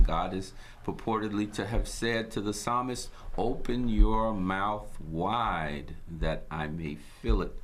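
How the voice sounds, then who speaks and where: A man from Guyana hosting his own broadcast, giving a measured, preacherly inspirational talk with scripture quotes. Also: low-pitched, a little hiss or hum. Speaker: host.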